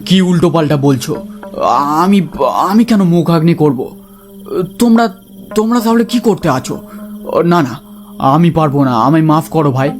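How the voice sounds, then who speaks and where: A man's voice: a little high, animated, moderate pace, long pauses, darkish tone, soft background music, close to an everyday voice. A man voicing a timid young village man who whines and mutters complaints in a horror cartoon. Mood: alarmed protest. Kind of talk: Bengali horror cartoon acting.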